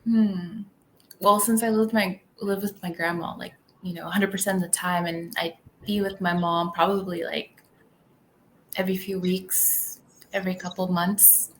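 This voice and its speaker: A woman's voice: lowish voice, fairly even intonation, moderate pace, a little hiss or hum. A young woman from California whose first language was Tagalog, chatting as a podcast guest and rambling with many fillers. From California, United States. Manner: rambling, heavy on "like" and "um". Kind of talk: casual interview chat.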